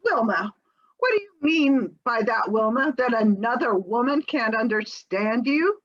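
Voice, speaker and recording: Readers theater sketch, actress as probing, patient lady therapist; high, varied intonation, slightly muffled lo-fi audio. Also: expressive. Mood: firm. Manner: measured, mediating, questioning. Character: patient, probing female marriage counselor. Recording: dull lo-fi sound.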